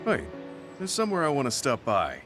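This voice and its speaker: An actor playing a stoic, earnest veteran soldier in a video game, speaking in a serious, reserved, measured way. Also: male voice, medium pitch, huge pitch swings. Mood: calm, earnest. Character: stoic, earnest male veteran soldier, quietly grieving and resolute. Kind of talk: English video game voice acting. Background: soft background music.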